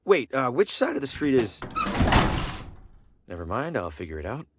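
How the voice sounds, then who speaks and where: A man's voice: mid-range voice, wildly swooping pitch, moderate pace, heavy background noise. A man playing a wry, down-to-earth traveling salesman who thinks aloud in a dry, conversational way. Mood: puzzled, determined. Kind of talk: video game character acting, thinking aloud.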